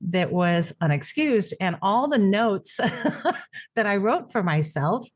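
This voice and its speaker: A woman's voice: mid-range voice, very animated, slow, dry recording, studio quality. A middle-aged woman, raised speaking Japanese, telling her caregiving story in a reflective interview with many fillers and restarts. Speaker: podcast guest, caregiver. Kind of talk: conversational interview.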